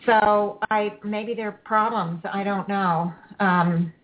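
A woman's voice: mid-range voice, fairly even intonation, slow pace, narrow, tinny audio. Chatty woman radio host, phone-thin. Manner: chatty, stop-start, laughs easily. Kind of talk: call-in radio chat.

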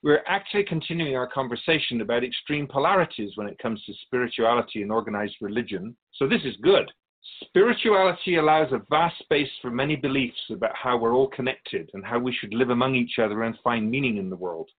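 Radio drama role: male actor as a wise spirit guardian of souls, didactic storyteller, animated intonation, mid-pitched. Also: phone-quality sound, lightly acted. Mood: patient, instructive. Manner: didactic, measured explanations with pointed asides. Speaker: interviewed guest. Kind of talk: scripted radio drama dialogue.

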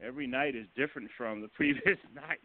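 A man speaks at a middling pitch over a thin, phone-like line. He explains in a casual interview style, halting as he goes and chuckling here and there. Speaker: guest, stage and film director. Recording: narrow phone-line sound.

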